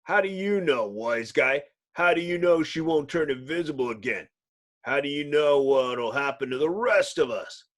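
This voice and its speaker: A performer voicing a gruff, hot-tempered man turning into a monster, blunt, sneering and belligerent, in a dramatic comic-book reading. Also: medium pitch, lively intonation, moderate pace, natural voice.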